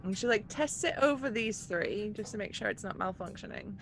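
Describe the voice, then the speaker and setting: A woman's voice: mid-range voice, animated, brisk, creaky, a bit bright, slight background noise. A woman playing a tabletop roleplaying game, speaking her dialogue in a brusque, bossy manner. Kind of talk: casual in-character game dialogue.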